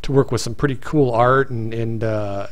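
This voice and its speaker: A man's voice: mid-range voice, steady tone, normal speed. A man hosting a casual live stream, talking in loose rambling sentences with many restarts, his voice tiring. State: voice getting tired. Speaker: stream host.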